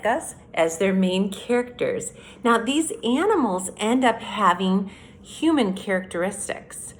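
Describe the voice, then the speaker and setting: Woman teacher with lively, swooping intonation. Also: mid-range voice, a little hiss or hum. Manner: deliberate, instructive, addressing young children. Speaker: elementary school teacher. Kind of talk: children's lesson and story read-aloud.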